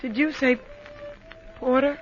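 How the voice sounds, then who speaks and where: A woman's voice: high voice, fairly even intonation, slow pace, music in the background. An actress playing a world-weary, guarded nightclub woman, terse and wistful, in 1950s radio drama style. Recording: muffled old radio recording, faint noise.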